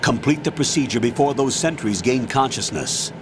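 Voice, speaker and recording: A man playing a commanding older army colonel in a video game, giving measured, authoritative mission briefings. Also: mid-range voice, expressive, background noise, lightly acted. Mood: instructive.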